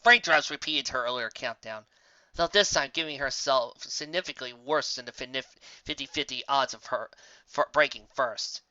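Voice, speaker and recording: Audiobook style fanfic reader, animated and bright, dry room, a little muffled. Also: mid-range voice, normal speed, no echo. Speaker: narrator. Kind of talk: dramatic fan fiction audiobook narration. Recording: slightly dull, dry close recording.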